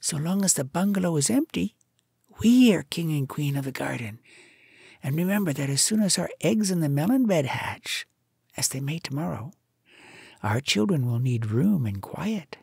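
A scheming cobra queen from a storybook, acted out by a narrator, husky and creaky. She is commanding and conspiratorial, laying out plans to her mate. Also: medium pitch, expressive, moderate pace, darkish tone, no room sound, studio quality, voice pushed up, full character voice. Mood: insistent.

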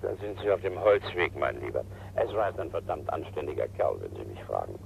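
Stubborn retired colonel: lowish gravelly voice, curt, irritable, radio play with faint hiss. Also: male voice, very animated, fairly quick, full character voice. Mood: irritated, sure. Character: gruff, irritable retired colonel and art collector. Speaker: actor. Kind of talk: German radio crime drama acting. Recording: slightly noisy old radio play recording.

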